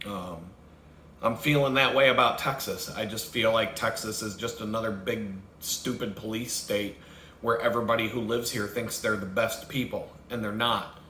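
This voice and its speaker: A man's voice: on the low side, steady tone, normal speed, bright, forceful tone. A man hosting his own video, talking in a rambling, profane rant, swearing heavily and laughing at his own jokes. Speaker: vlogger host. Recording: roomy with faint background noise.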